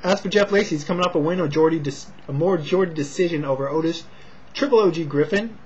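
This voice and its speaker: An American man giving a casual, low-key and hesitant fight preview, not loud and slightly short of breath. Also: fast talker, slight background noise. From Sacramento, California, United States. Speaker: amateur boxing commentator and vlogger. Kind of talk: casual talk to camera, fight preview and prediction. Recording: dull, lo-fi home recording.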